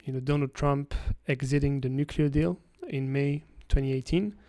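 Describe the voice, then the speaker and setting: A man from France, a guest analyst giving explanatory interview answers, halting with frequent restarts. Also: medium pitch, steady tone, mellow, no echo.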